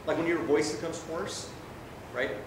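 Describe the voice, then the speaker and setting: A man's voice: fairly even intonation, moderate pace. A male doctor giving an informal medical lecture in a conversational way, often checking in with his listeners. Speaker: emergency physician lecturing. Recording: very echoey room, distant mic, noisy rumble.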